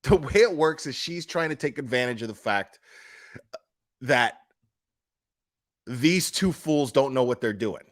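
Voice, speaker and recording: A man's voice: medium pitch, lively intonation, measured pace, pauses often. A man giving casual, opinionated commentary as a streamer, speaking in a blunt, emphatic, foul-mouthed manner.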